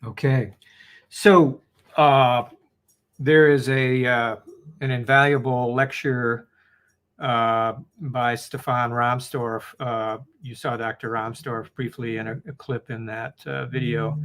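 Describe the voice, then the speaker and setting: A man's voice: mid-range voice, little pitch movement, slow, a bit bright. A man giving a public lecture, hesitant and full of fillers.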